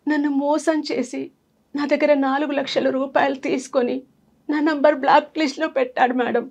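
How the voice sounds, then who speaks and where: A woman's voice: high voice, little pitch movement, moderate pace, gravelly, a little mellow, faint music behind. A woman, telling her personal story as a talk show guest. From Andhra Pradesh, India. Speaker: guest seeking advice.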